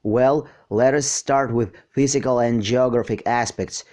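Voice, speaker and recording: A man talks slowly and haltingly in English, which is not his first language, as he explains a science topic. His voice sits at an ordinary middle pitch. The recording is close and dry. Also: fairly even intonation, darkish tone, no echo. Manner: slow, hesitant, with frequent fillers. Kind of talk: unscripted educational explanation.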